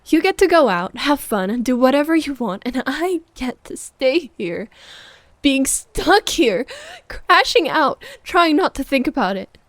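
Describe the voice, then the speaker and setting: Woman doing a jealous clingy girlfriend asmr thing, really slow, wounded n guilt trippy, slips into whispers, super dry sound. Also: high voice, very animated, fairly bright tone, no echo, heavily acted. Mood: agitated, accusing. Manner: breathy sighs, often dropping into whispers. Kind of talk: ASMR girlfriend roleplay audio drama.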